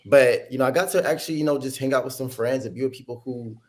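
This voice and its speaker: Lively male co-host, mid-pitched, sassy with casual slang. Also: fast talker, background noise. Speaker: podcast co-host. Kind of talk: casual chat.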